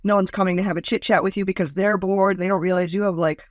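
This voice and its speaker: A woman in her forties with a notably deep voice, talking as a guest in a relaxed interview. She tells stories with a sly, mocking edge and slips into quick impressions. The sound is close and dry. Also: no room sound. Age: 40s. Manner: lively, storytelling, mocking asides. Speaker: guest, comedian. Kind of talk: casual interview chat.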